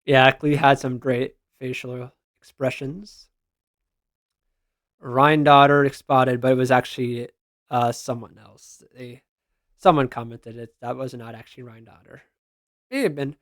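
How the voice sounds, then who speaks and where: A man's voice: mid-range voice, steady tone, a little slow, a bit bright, no room sound, clean studio sound. A man giving casual, slangy reaction commentary as a gaming content creator, responding aloud to what he reads.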